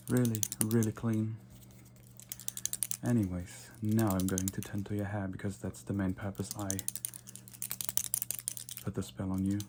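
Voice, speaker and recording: A man voicing a haughty, domineering yet playful vampire lord in an ASMR roleplay, speaking softly and deliberately with whispered asides. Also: fairly low pitch, slight background noise.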